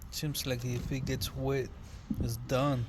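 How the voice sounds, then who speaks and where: A man giving a casual, unscripted product review in an offhand, halting way, thinking aloud as he goes. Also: medium pitch, little pitch movement. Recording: loud hum and background noise.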